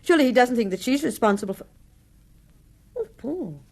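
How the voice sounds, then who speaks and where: An actress playing a curious, playful British detective's wife in a radio drama, with an inquisitive and affectionate manner. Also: female voice, a little high, sing-song, brisk, darkish tone. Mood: intrigued.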